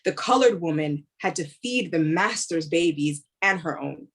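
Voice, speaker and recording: A woman's voice: lowish voice, expressive, moderate pace, slightly rough. A woman playing a driven, weary policewoman. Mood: determined. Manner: earnest and blunt, speaks in short emphatic phrases. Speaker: police officer. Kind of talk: staged play reading.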